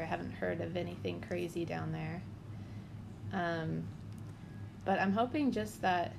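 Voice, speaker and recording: Twenties woman, kinda low and gravelly, chatty and laughing, noisy mic. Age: 20s. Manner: upbeat, laughs often. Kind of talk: casual chat. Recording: noisy background hiss. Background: dog chewing a bone.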